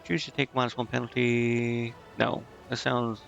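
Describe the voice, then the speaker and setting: A man's voice: mid-range voice, flat delivery, moderate pace, creaky, a bit bright, lo-fi sound, faint music behind. A man thinking aloud in casual, indecisive gameplay commentary, muttering to himself as he streams. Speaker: game streamer.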